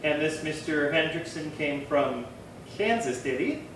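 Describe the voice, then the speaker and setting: A man's voice: fairly high pitch, lively intonation, measured pace. Man playing police partner, polite, patient, radio play, reverberant room, faint noise. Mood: polite, inquiring. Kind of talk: 1950s radio crime drama acting. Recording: echoey hall, far from mic.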